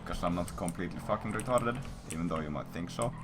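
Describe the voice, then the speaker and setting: A man's voice: low voice, quick pace, over music. A man casually telling a rambling story to stream viewers in crude language full of swearing. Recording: noisy stream audio, keyboard sounds.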